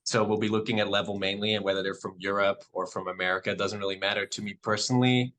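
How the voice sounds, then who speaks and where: A lowish, bright-toned man from the Netherlands answers interview questions in calm, measured English with no accent. Dutch is his first language. He pauses often on soft ums. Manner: soft-spoken, measured, with frequent "um". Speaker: padel club owner.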